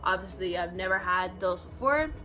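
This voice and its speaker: A woman's voice: on the low side, animated, normal speed, sharp tone, soft background music. A woman in her early twenties talking casually to the camera in a vlog, chatty, with many ums and "you know"s. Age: 20s. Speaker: vlogger. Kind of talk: casual facts-about-me vlog.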